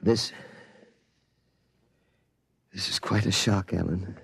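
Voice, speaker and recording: A man's voice: low-pitched, fairly even intonation, slow pace, creaky, noisy background, full character voice. An actor playing an anxious middle-aged father, hesitant and incredulous, in the manner of 1950s radio drama. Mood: puzzled, uneasy. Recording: vintage radio broadcast.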